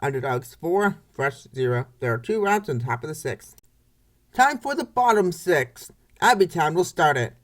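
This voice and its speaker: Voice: mid-range voice, very animated, normal speed. A baseball play-by-play announcer reading scripted commentary for a comic dub in short, clipped phrases.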